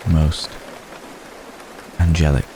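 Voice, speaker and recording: A man playing an ancient, gentle, courtly vampire in an intimate ASMR roleplay, speaking tenderly in measured, formal phrases with hesitant pauses. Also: a bass voice, background noise, full character voice. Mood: earnest, confiding. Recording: close recording with steady rain ambience.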